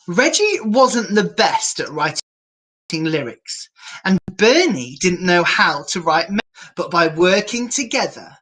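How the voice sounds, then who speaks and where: A woman's voice: fairly low pitch, a bit bright, rough recording. A woman reading a picture book aloud to children in a theatrical, playful manner, with asides to the viewers. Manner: theatrical, playfully self-mocking. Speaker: children's storyteller, drag queen.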